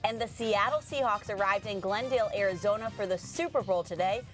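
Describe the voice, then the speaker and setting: A female sports co-host speaks in a broadcast presenting style. Her pitch is average for a woman, and faint music plays behind her. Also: brisk, a bit bright.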